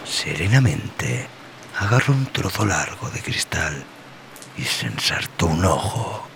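A man giving a grave, dramatic scripted horror narration, with phrases that often sink into a breathy hush. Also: deep voice, sing-song, slow pace, noisy background. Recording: voice over loud music and rain.

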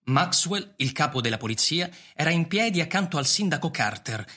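A man's voice: fairly high pitch, lively intonation, fairly quick, bright, forceful tone. A man narrating a scripted radio drama as a storyteller. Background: music.

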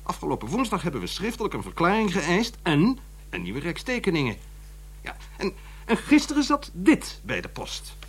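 An actor playing a nervous, fussy but indignant publisher in a radio drama, speaking formally with hesitant stammers. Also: male voice, sits high, wildly swooping pitch, moderate pace, a little hiss or hum, full character voice. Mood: exasperated.

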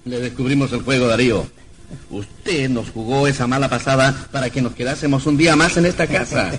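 An actor playing an affable, obliging husband, genial and conversational in an old radio drama. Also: male voice, medium pitch, fairly quick, natural voice.

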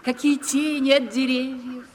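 A woman's voice: high voice, level tone, a little slow. An actress playing a coquettish, imperious aging lady landowner in a theatrical Russian radio comedy, languid and cooing. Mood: languid, flirtatious. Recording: 1970s radio play, reverberant with background noise.

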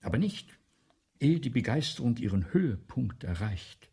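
An actor playing a vain, excitable playwright in a German radio play, with a pompous, theatrical, lecturing manner. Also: male voice, mid-range voice, moderate pace, vocal fry, husky, exaggerated voice. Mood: scheming, bossy. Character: vain, excitable, self-dramatizing 19th-century male playwright.

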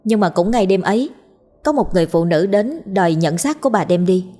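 A woman voicing a matter-of-fact female nurse who explains things in a measured way in a story. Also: medium pitch, animated, dark tone, soft background music, close to an everyday voice. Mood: earnest, explaining.

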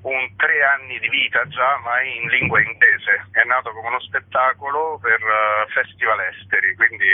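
A man's voice: medium pitch, fairly even intonation, moderate pace, hardly any pauses, no room sound. A man, a playwright and director, explaining his show at length in a radio interview over the phone, often hedging his words. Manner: talkative, hedging with "diciamo". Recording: tinny phone line, dry.

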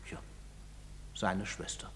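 A man's voice: slow pace, bright, forceful tone. An actor playing a guilt-ridden, hard-drinking father, bitter and brooding, in a declamatory theatrical stage style. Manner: brooding, declamatory, swinging from outbursts to muttered monologue. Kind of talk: theatrical stage drama acting in German. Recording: reverberant hall, noisy background hiss.

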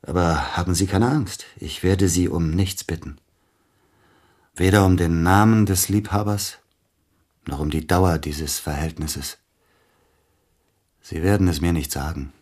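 A man's voice: sits low, measured pace, long pauses, a little mellow. A man playing an aging, bitter, melancholy celebrated pianist in a radio play, speaking in a formal, brooding, theatrical way. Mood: accusing, resigned.

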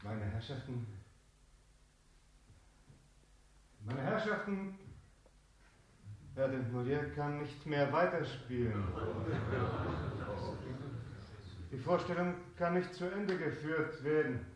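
A sensible stage player in a theatrical radio play. His high voice swings widely as he speaks slowly and emphatically, in a heavily echoing space. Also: lively intonation. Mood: distressed announcing. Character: sensible male stage player, reasoning and anxious to keep order. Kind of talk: theatrical German radio drama acting. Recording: very echoey stage, faint noise.

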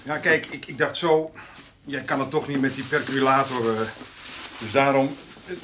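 An actor playing a well-meaning, awkward farmer and devoted son in a radio play, speaking haltingly. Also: male voice, mid-range voice, bright, forceful tone, a little hiss or hum, natural voice. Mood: bashful, eager. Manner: halting, bashful.

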